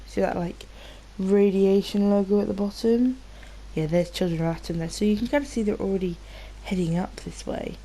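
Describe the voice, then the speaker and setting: A woman's voice: medium pitch, normal speed, dark tone, slight background noise, rough recording. A British woman giving casual, rambling gameplay commentary, informal and sweary, sounding slightly nasal from a cold. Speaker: gaming video host.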